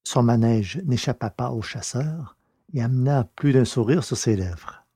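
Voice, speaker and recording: A man narrating a scripted story in a measured, literary reading style. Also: mid-range voice, mellow, slightly rough, no echo, studio quality.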